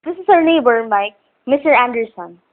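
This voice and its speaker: A woman's voice: fairly high pitch, lively intonation, normal speed, close to an everyday voice. A woman playing a frightened, protective hostage wife trying to keep up appearances in a radio thriller. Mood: feigned normal. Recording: thin, phone-like radio-play recording.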